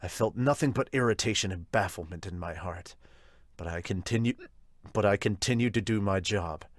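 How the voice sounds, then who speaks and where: A man's voice: fairly low pitch, moderate pace, dry recording, studio quality, voice pushed down, close to an everyday voice. A man voicing a stern, remorseful middle-aged hero and father in a solemn, subdued manga fan dub style. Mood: grim, reflective.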